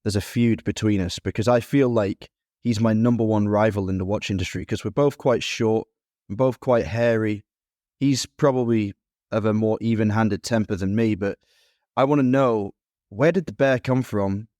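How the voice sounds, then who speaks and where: A man's voice: fairly low pitch, normal speed, a little mellow, dry recording. Joking man co-host, dry close sound. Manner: joking, playfully dramatic. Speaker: podcast co-host. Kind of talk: casual interview hosting.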